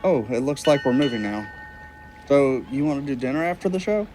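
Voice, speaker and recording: A man's voice: medium pitch, animated, fairly quick, a little mellow, slight background noise, natural voice. A man playing an easygoing, practical stage magician with a casual, matter-of-fact delivery in an audio drama. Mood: relaxed, practical. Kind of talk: naturalistic audio drama acting. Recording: boat ambience clearly behind.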